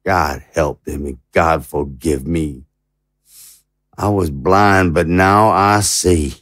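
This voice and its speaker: Audio drama role: proud but naive president played by a man, lowish pitch, halting, stammering, indignant and anguished. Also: fairly bright tone, dry recording, clean studio sound. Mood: anguished regret. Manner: stammering, rhetorical. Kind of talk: dramatic audio fiction acting.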